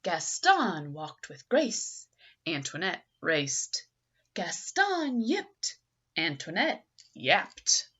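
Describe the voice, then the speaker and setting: A woman's voice: lowish voice, huge pitch swings, very slow, a bit bright, breathy edge, muffled recording. A woman reading a children's picture book aloud expressively and theatrically, engaging young listeners.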